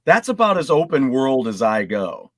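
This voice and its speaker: Man, very lively intonation, medium pitch, easygoing, joking, dry recording, podcast banter. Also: moderate pace, dry recording. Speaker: podcast panelist. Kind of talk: casual panel chat.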